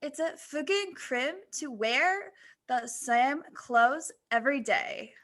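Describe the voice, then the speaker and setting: A woman's voice: sits high, lively intonation, takes it slowly, fairly bright tone, full character voice. A woman voicing a sullen young goth girl with a whiny, slangy manner, in a fan fiction reading. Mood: indignant.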